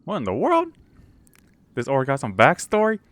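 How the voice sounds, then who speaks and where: Low-voiced woman reacting to a game. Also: huge pitch swings, normal speed, no room sound. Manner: slangy, brief reactive asides. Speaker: gaming video creator. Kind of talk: casual playthrough reaction commentary.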